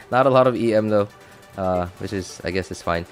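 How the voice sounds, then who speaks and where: A man giving casual, chatty live gameplay commentary as a streamer, thinking out loud and reacting as he goes. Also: lowish voice, normal speed, soft background music. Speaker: game streamer.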